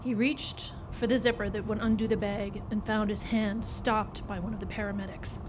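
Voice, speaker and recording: A woman's voice: mid-range voice, little pitch movement, moderate pace, background noise. A woman reading a scripted horror story dramatically, recorded live in a performance club.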